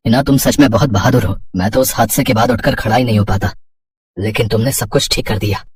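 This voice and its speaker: A man plays a kind, encouraging man in a cartoon tale. His voice is husky and mid-pitched, earnest in manner, recorded dry. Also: fast talker, no echo, far from the mic. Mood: grateful. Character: kindly, appreciative adult man, boss and husband in cartoon tale.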